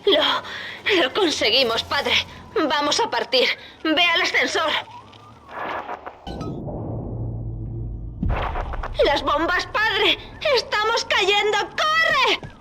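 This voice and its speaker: High-pitched, determined young daughter. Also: female voice, big pitch swings, slow pace, full character voice. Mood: focused, encouraging, then alarmed. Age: young adult. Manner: urgent, instructing. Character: determined, devoted young daughter guiding her father. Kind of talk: dramatic video game acting. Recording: clear noise under the voice.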